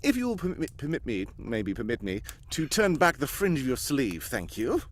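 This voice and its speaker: A performer playing a self-assured, probing detective in a live old-time radio mystery, with a pompous, deliberate delivery. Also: medium pitch, sing-song, slight background noise, natural voice. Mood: probing, grave. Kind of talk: live 1940s radio mystery acting with comic ad-libs.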